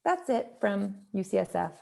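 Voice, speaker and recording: A woman doctor whose home language is Lithuanian presents on a video call panel, earnest and quick to restart her sentences. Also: mid-range voice, very animated, creaky, mellow. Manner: earnest, strings questions together with restarts. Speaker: emergency physician presenting for a hospital team.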